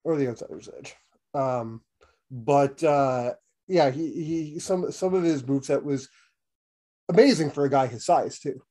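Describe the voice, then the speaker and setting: A man's voice: medium pitch, a little slow, dark tone. A man in his thirties co-hosting a casual wrestling podcast while sick and losing his voice, rambling with lots of fillers and restarts. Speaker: podcast co-host.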